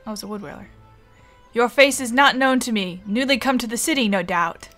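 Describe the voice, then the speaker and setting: A woman's voice: a little high, fairly quick, a bit bright, faint music behind, close to an everyday voice. A woman voicing a brusque, suspicious forest guard in a fantasy video game. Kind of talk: reading game dialogue aloud in character.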